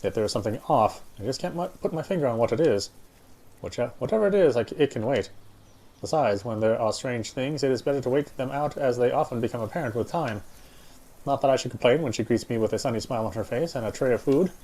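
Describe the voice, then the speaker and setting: A man's voice: mid-range voice, animated, fast, mellow, low-quality recording. A man reading first-person visual novel narration aloud.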